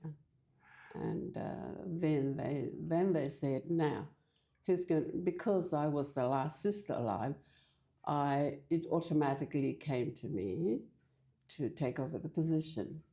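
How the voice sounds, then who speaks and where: An older woman from Fiji, talking in a halting, hesitant way as a guest in a casual church interview. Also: sits low, warm tone. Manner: hesitant, halting with many restarts.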